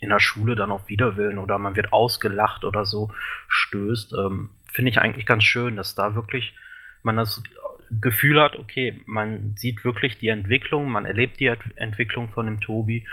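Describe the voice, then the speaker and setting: A man talks earnestly in a casual podcast chat, and his thoughts spill out in long, rambling runs full of fillers. His voice sits a little low but has a bright, forceful edge.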